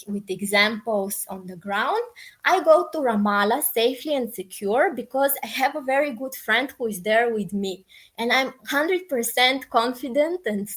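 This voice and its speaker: A woman's voice: a little high, a bit bright. A woman from Bulgaria with a Bulgarian accent, answering interview questions informally, hedging and thinking aloud as she goes. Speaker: podcast guest, peace activist.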